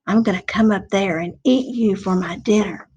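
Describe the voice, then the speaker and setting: A woman voicing a menacing, hungry male troll in a children's folk tale, with drawn-out threats. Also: mid-range voice, a little mellow, husky, dull audio, pitched below the performer's own voice, close to an everyday voice.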